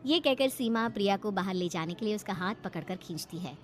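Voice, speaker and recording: A woman's voice: medium pitch, animated, a little fast, soft background music. A woman storyteller giving dramatic scripted narration of a horror story.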